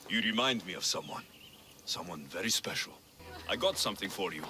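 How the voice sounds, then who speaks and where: A man's voice: sits low, lively intonation, brisk, noisy background. A man playing a wry, direct, protective ancient Greek mercenary in a video game. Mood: firm, kind. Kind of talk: video game character acting.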